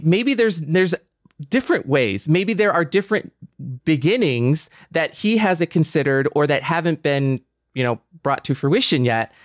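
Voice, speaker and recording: A man's voice: fairly high pitch, no echo, clean studio sound. A man co-hosting a podcast, talking casually and thoughtfully. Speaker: podcast co-host.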